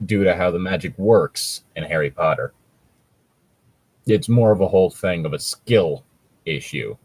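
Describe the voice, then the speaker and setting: A man's voice: low voice, lively intonation, unhurried, darkish tone, husky. A man co-hosting a podcast in casual chat, swearing freely and complaining dryly as he reads and picks things apart. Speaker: podcast co-host. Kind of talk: casual chat, reading and mocking fan fiction.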